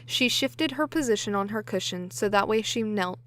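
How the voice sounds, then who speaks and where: A woman's voice: mid-range voice, expressive, moderate pace, a bit bright, a little hiss or hum. A woman reading scripted story narration for an audio drama. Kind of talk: scripted audiobook-style narration, blooper takes.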